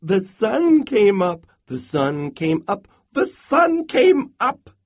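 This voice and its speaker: A man's voice: high-pitched, sing-song, takes it slowly, lo-fi sound, pitched above the performer's own voice, full character voice. A man voicing a small, chirpy young male squirrel from a folk tale, piping and teasing in a sing-song way. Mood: gleeful.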